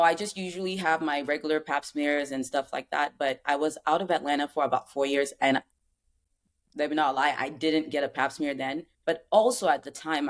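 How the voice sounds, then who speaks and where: Chatty woman in her thirties from Cameroon. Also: low voice. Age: 30s. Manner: chatty, rambling with many fillers. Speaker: podcast guest. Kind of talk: casual chat.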